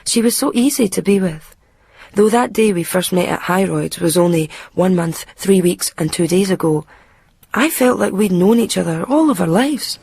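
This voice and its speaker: A woman's voice: lowish voice, expressive, normal speed, vocal fry, a little mellow, breathy edge, heavily acted. A woman playing a hesitant, earnest young woman with a Scottish accent in an intimate radio drama. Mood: reflective. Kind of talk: radio drama dialogue and first-person narration.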